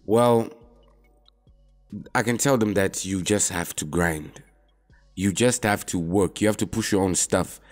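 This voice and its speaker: Young rapper, low-pitched and easygoing, talking straight to camera over soft music. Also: male voice, unhurried, long pauses. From Zimbabwe. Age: young adult. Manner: relaxed, laid-back, drops in "man" often. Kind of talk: casual talk to camera answering questions.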